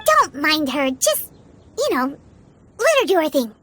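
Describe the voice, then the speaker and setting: A woman's voice: sing-song, measured pace, fairly bright tone, full character voice. A woman voicing a tiny, blunt, eager childlike fairy companion in a video game. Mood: chirpy. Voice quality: squeaky, childlike. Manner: bubbly, speaks of self in third person. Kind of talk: video game character acting. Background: background music.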